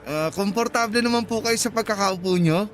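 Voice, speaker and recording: A man's voice: sits high, brisk, fairly bright tone, faint music behind. A man playing a polite, chatty, careful young motorcycle taxi driver in a radio drama, eager and courteous.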